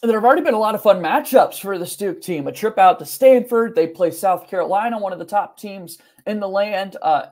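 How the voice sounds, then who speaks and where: High-pitched young man hosting a sports podcast. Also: darkish tone. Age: young adult. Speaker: podcast host. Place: small home room, indoors.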